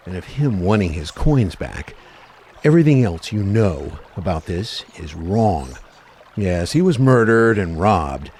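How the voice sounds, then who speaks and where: A man acting a gruff, skeptical ghost hunter in an amateur supernatural radio play. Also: on the low side, darkish tone. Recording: clearly noisy, rushing water sound behind.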